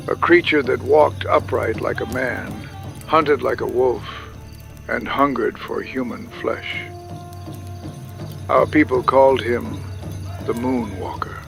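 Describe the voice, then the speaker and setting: Slow, heavily gravelly man as grave chief. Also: medium pitch. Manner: solemn, deliberate, formal storytelling. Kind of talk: audio drama storytelling.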